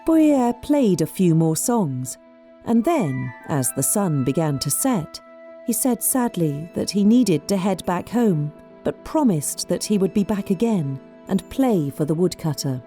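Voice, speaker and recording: A woman tells a folk tale from a script. Her voice is fairly low with lively, expressive intonation, and clear music plays behind her. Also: a little slow. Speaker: storyteller, narrator.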